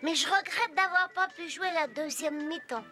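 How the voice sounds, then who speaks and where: Little male chick, cartoon, very high childlike pitch, emphatic, plaintive, music behind. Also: moderate pace, bright, forceful tone. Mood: relieved. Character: small, earnest, plaintive boy chick. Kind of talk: cartoon dubbing.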